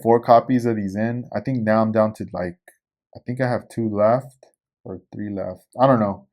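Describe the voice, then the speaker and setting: A man in his forties hosting a casual podcast, talking loosely about new hobby shop stock with lots of fillers. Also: medium pitch. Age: 40s. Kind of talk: casual product rundown.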